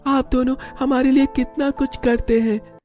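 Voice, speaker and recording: A little child's voice, pitched far up from his own. The cartoon child sounds sweet, earnest and affectionate. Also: level tone, measured pace, a little mellow, soft background music. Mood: tender. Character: grateful, affectionate small child. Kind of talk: Hindi cartoon story voice acting.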